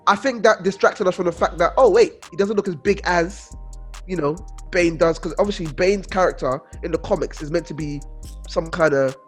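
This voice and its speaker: High-voiced animated man. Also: moderate pace, breathy edge, faint music behind. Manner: animated, emphatic, repeats himself. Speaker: podcast panel member. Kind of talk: casual chat.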